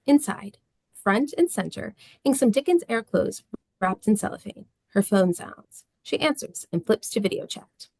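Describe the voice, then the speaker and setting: A woman's voice: mid-range voice, animated, moderate pace, vocal fry, a little mellow, dry recording, low-quality recording. A woman reading stage directions as scripted narration at a table read.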